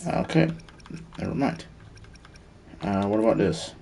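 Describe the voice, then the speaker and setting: A man's voice: fairly low pitch, lively intonation, a little slow, vocal fry, darkish tone, a little hiss or hum. A man streaming a video game, giving casual commentary and muttering to himself as he thinks aloud. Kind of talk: casual live gameplay commentary.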